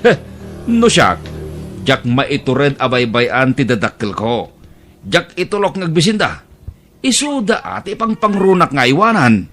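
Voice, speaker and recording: Man acting a very animated, outspoken village man. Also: a little high, unhurried, heavily acted. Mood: sympathetic, indignant. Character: compassionate, outspoken village man, indignant at lazy children. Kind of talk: audio drama acting.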